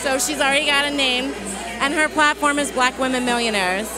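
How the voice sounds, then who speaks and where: A woman's voice: sits high, steady tone, fairly quick, over music, a crowd in the background, poor audio. A woman in her thirties chatting as an interview guest, candid and breezy, on a handheld mic. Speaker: guest, business owner. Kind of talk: casual interview answers. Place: busy indoor meetup venue with music and crowd.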